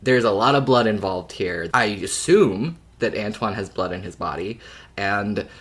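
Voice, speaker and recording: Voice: deep voice, a little slow, fairly bright tone. A podcast co-host chatting casually about a story, with a playful, teasing and wry way of talking. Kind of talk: casual fan podcast discussion.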